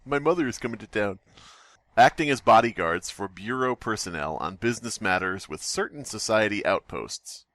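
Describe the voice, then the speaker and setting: Bright, forceful low-voiced man, dry sound. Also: expressive, moderate pace, no echo. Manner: sarcastic, riffing. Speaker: podcast host. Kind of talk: casual comedic commentary and reading aloud.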